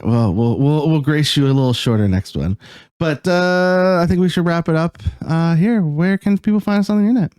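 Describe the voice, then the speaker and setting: A man from Canada, co-hosting a podcast in a halting casual chat, often laughing. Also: fairly high pitch, lively intonation, darkish tone, smooth, no room sound, clean studio sound. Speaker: podcast co-host.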